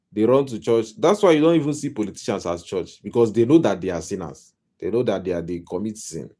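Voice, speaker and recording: A man's voice: lowish voice, dark tone. A man with a Nigerian accent giving a casual live-stream monologue as a life coach, rambling and repeating himself with wry humor. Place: home.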